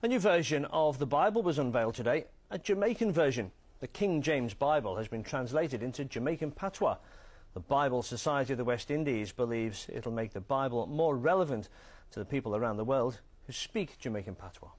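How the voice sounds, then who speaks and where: A British man presenting regional television news. His pitch is average and he delivers a scripted report in a formal studio style. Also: expressive, a little fast, a little mellow.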